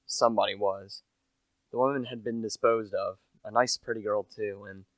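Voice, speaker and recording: A man's voice: lowish voice, dry recording, natural voice, rough recording. A young man acting a humble, chatty small-time salesman who narrates a radio play in a plain, confiding manner. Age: young adult. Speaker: narrator and lead character.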